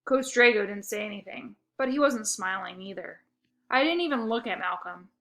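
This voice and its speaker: A woman narrating a children's book aloud with expressive, first-person storytelling. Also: mid-range voice, moderate pace.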